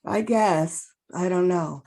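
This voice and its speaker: Creaky, unhurried woman reading a middle-aged busboy. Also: fairly low pitch, dark tone. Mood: reserved, polite. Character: reserved middle-aged male busboy, polite, plainspoken. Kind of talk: amateur table read of TV drama.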